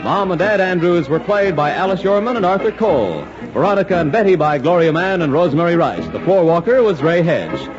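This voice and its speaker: A male American announcer in classic 1940s radio style, polished and emphatic. His fairly high voice moves with lively intonation, over prominent music on a muffled vintage recording. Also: brisk, hardly any pauses.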